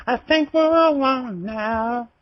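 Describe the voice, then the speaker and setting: A man's voice: very high-pitched, takes it slowly. A man performing song lyrics as an amateur over the phone. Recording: dull, dry phone line.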